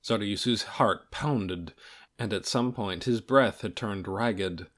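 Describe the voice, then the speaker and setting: A man reading a fantasy audiobook in a dramatic, measured storytelling manner, with deliberate pauses between phrases. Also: lowish voice, a bit bright. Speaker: audiobook reader. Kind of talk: scripted fantasy novel narration.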